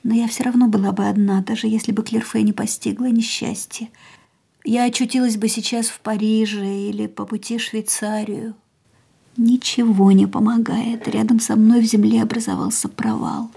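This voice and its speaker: A grieving, husky young woman. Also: a little high, normal speed. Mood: whispering grief. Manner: quiet, stunned inner monologue, often whispered. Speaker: actress in a radio play. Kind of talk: radio drama with inner monologue.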